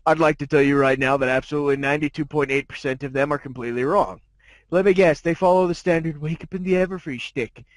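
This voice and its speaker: A man's voice: a little high, fairly even intonation, fast talker, no echo, lightly acted. Man voicing a snobby, sardonic storytelling dog, dry sound. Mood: dismissive. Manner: haughty, jaded, wry. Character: snobby, sardonic male diamond dog telling his life story. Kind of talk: dramatic fan fiction narration.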